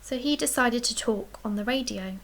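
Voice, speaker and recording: A woman reading a children's picture book aloud as a storyteller, expressively, with dramatic emphasis and hushed, breathy dips. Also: on the high side, moderate pace. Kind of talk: expressive read-aloud bedtime story narration.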